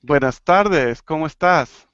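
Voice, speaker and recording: A man from Argentina teaching an online Spanish class, playful and clear, slowing down to model phrases. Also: on the high side, lively intonation, fairly bright tone, no room sound, lo-fi sound. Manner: playful, laughing, slow and clear when teaching. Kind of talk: live online language class.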